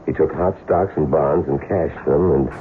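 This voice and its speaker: A man's voice: rumbling low, normal speed, slight background noise. An actor playing an anxious, stammering man caught up with gangsters in a 1970s radio drama. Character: nervous man mistaken for a dead gangster. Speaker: lead character. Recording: lo-fi phone-band old radio recording with music.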